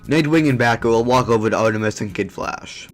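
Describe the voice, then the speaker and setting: A man narrates a homemade superhero audio drama at a middle pitch. He reads the action in an even storytelling way. Faint music plays beneath him. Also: brisk.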